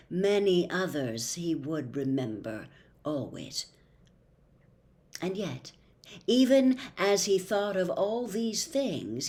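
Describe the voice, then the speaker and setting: Female storybook narrator: lowish voice, unhurried pace, dramatic pauses, widely varied intonation. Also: darkish tone. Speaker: host reading classic books aloud.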